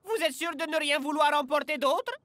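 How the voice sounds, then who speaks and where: Bright, high-pitched sarcastic cartoon character. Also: male voice, fairly quick, a little hiss or hum. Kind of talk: French cartoon dub.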